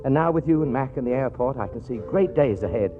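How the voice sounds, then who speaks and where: A man's voice: mid-range voice, lively intonation, quick pace, close to an everyday voice. Man acting a timid, lovestruck rich suitor, swooping voice. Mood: bashful, happy. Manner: hesitant, fussy, polite. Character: timid, earnest, infatuated rich gentleman suitor. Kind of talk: 1940s radio comedy acting. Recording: narrow-band 1940s radio recording, music behind. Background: music.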